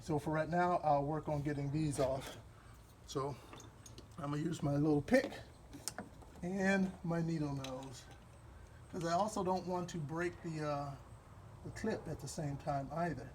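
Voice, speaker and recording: A man from Wisconsin with a Midwestern accent, explaining a car repair step by step in a relaxed, rambling way while he works. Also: a little high, slight background noise. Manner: relaxed, rambling, with fillers and pauses while working. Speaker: DIY car repair host. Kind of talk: hands-on repair walkthrough.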